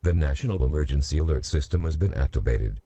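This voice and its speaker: A man reading a scripted national emergency alert in a measured, formal, instructional manner. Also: rumbling low, lively intonation, noisy background. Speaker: emergency broadcast announcer.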